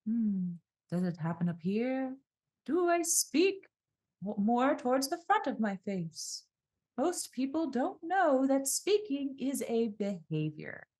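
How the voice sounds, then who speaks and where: A woman speaking as a podcast guest and speaking coach, calm and reflective, slowing down and shifting her voice to demonstrate. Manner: calm, varies pace and pitch to demonstrate voice.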